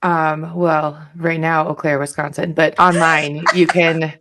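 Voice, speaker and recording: Low-voiced American woman chatting casually. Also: little pitch movement, unhurried, noisy background. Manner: informal, hedging, frequent "like" and "you know". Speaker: podcast guest. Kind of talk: casual conversational advice.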